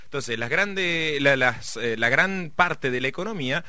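A man's voice: mid-range voice, animated, unhurried, bright, forceful tone, no echo. A middle-aged man with a Rioplatense Argentine accent explaining economics in casual conversation, rambling with many fillers and restarts. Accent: Rioplatense Spanish.

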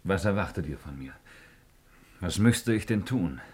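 An actor playing a troubled, wary Roman guard commander in a radio drama, with husky, hesitant, brooding speech. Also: male voice, low-pitched, lively intonation, measured pace, darkish tone, exaggerated voice. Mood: uneasy, suspicious. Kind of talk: 1950s German radio drama acting.

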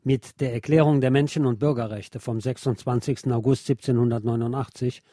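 A man reads a scripted history feature as presenter, in a formal, measured way. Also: mid-range voice, steady tone, dark tone, no room sound, studio quality. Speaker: radio show presenter.

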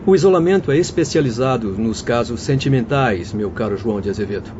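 An actor playing a courteous, earnest doctor and spirit guide in a radio drama, with formal declamatory delivery. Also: male voice, medium pitch, lively intonation, fairly quick, close to an everyday voice. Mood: earnest, grave. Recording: dull lo-fi audio, clear background noise.

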